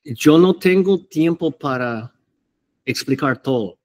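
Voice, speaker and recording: Very slow, halting man teaching the Bible. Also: fairly high pitch, lively intonation, darkish tone. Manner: hesitant, halting, many fillers and restarts. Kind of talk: unscripted Bible teaching to camera.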